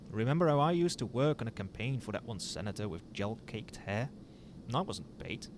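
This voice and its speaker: A man voicing a smug but wistful hero captor who is secretly fond of the villain, in a theatrical, deliberate ASMR roleplay monologue. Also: fairly low pitch. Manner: theatrical, deliberate, with dramatic pauses. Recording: amateur recording with audible background noise.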